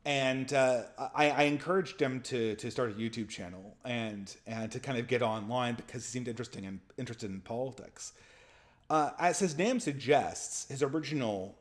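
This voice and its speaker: A man's voice: mid-range voice, normal speed. Nasal-voiced man, stammering commentary. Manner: speech impediment, frequent stammered restarts. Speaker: channel host. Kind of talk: casual monologue commentary.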